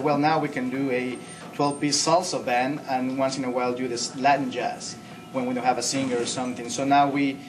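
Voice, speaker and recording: A man from Ecuador, a band singer, telling how his group started in a casual interview. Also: medium pitch. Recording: distant mic, faint noise.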